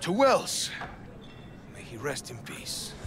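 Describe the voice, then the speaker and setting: Brash, swaggering gangster, animated delivery. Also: male voice, a little high, slow, slight background noise, heavily acted. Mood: hearty tribute. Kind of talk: video game acting. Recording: crowd chatter behind the voice.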